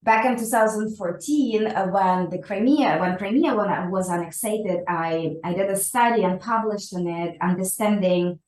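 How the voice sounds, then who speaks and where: A woman's voice: medium pitch, normal speed, hardly any pauses, darkish tone. Echoey woman, native Ukrainian speaker. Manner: thoughtful, many fillers and restarts, ends points with "right?". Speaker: guest scientist. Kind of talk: conversational interview answer.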